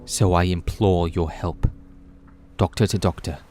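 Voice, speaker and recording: A man's voice: sits low, fairly even intonation, unhurried, mellow, faint music behind. A man playing a grave, secretive alien time traveller in an audio drama, solemn and imploring. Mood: earnest pleading.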